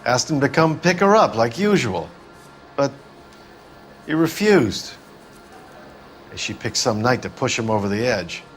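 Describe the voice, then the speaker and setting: An actor playing a world-weary, gruff middle-aged 1940s club owner in a video game, blunt and wistful. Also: male voice, mid-range voice, sing-song, unhurried, long pauses, soft background music. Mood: defensive, angry.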